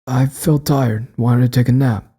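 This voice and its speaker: A shaken man caught somewhere frightening, voiced by a male narrator, talks nervously while trying to keep up some nerve. The voice is raised from a storyteller's low register yet stays very mellow, in audiobook style. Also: fairly even intonation, measured pace, slightly rough. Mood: uneasy. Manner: nervous, pitched above the narration.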